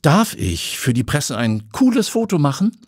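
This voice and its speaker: A bold young reporter, as a male narrator puts her on, asking question after question in a raised voice. The tone has a husky grain, recorded close and dry. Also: on the high side, sing-song, normal speed, no room sound, pitched above the performer's own voice. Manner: inquisitive, persistent.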